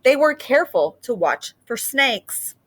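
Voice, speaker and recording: A woman's voice: a little high, sing-song, a little slow. A woman speaking as a teacher leading a children's read-aloud, explaining simply and reading expressively.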